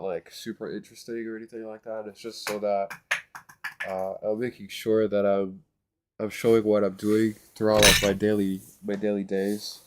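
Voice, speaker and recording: A man's voice: lowish voice, noisy background. A man with a congested, nasal voice talking casually in a vlog, rambling and hesitant with many fillers and restarts. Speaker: vlogger. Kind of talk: casual self-recorded vlog.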